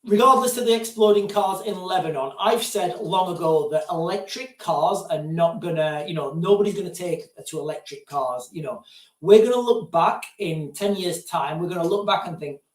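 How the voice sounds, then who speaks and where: A man's voice: sits high, normal speed. A man hosting a live stream, chatting casually and rambling off on tangents while calling his viewers "guys". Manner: digressive, keeps addressing viewers as guys. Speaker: live-stream host answering viewer questions. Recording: roomy sound, distant from mic.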